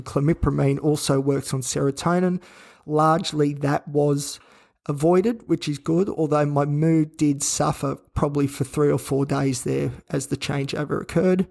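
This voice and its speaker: Tired croaky aussie guy podcasting, gravelly, kinda monotone, rambly. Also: a little high. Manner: flat, weary, rambling with restarts. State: croaky and worn out. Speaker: podcast host. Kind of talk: candid solo podcast monologue. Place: hospital room. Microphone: own recording gear.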